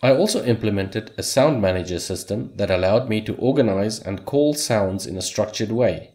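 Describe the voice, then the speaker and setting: Male voice-over host, native Afrikaans speaker, slightly low register, steady scripted delivery with dry humor. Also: moderate pace. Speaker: hobby game developer, channel host.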